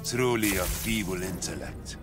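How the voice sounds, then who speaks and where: Game villain-king male actor: low, declamatory, menacing godlike ruler, stern and haughty, over loud music. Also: moderate pace, natural voice. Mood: contemptuous. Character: imperious godlike warrior king, proud, contemptuous, menacing. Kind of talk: video game fight banter.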